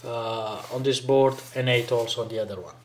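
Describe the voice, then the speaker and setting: A man's voice: mid-range voice, fairly even intonation. Man talking in an echoey workshop. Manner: halting, thinking aloud. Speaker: DIY video maker. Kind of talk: casual hands-on workshop tutorial narration. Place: indoor home workshop. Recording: echoey room, faint background noise.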